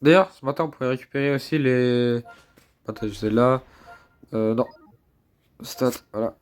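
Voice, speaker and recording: Excitable young man with a cold. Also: mid-range voice, normal speed, clean tone. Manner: excitable, chatty, repeats words, swears under breath. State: congested with a cold. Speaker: mobile game YouTuber. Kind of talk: casual gameplay commentary.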